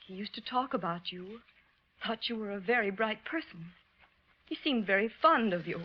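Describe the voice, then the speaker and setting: An American actress playing a grieving, genteel widow who rambles politely and plaintively in a 1940s radio drama. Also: female voice, mid-range voice, lively intonation, moderate pace, lightly acted. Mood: tearful, wistful. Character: grieving, genteel widow, a mother prattling sentimentally. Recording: muffled old radio recording.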